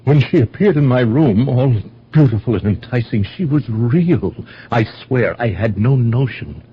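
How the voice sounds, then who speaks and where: A man playing an anguished, bewildered lover in a radio drama, halting and impassioned, with a husky voice. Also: mid-range voice, lively intonation, a little hiss or hum, close to an everyday voice. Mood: pleading, confused. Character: anguished, bewildered lovestruck man, well-born. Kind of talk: 1970s radio drama acting. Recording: dull old radio recording.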